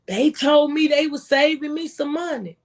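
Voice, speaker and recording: A woman's voice: high-pitched, normal speed, darkish tone. An American woman speaking as a former tax agent, giving folksy, emphatic advice and repeating phrases for stress. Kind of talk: impassioned conversational tax advice.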